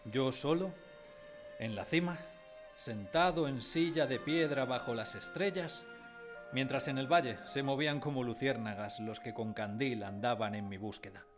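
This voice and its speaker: A man playing a sensitive grown man who recalls his timid boyhood, in expressive, dramatised first-person storytelling. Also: mid-range voice, normal speed, lightly acted. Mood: nostalgic. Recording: prominent music behind the voice.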